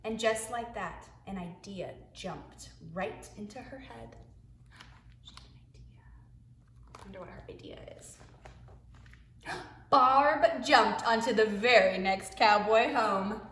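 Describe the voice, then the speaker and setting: A woman, a preschool teacher, reading a picture book aloud to young children in an expressive way, with hushed asides. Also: mid-range voice, slow, long pauses, a little hiss or hum. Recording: echoey room.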